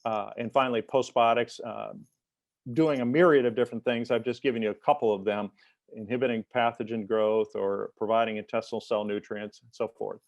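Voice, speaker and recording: A man giving a technical webinar presentation as an invited expert, talking in a halting way with many fillers and restarts. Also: fairly low pitch, steady tone, gravelly, no echo, lo-fi sound. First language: English.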